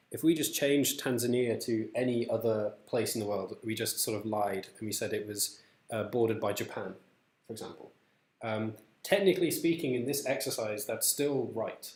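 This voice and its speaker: A man co-hosting and casually explaining an idea, his speech loose and full of "you know" fillers. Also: fairly low pitch. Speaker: co-host. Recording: echoey room.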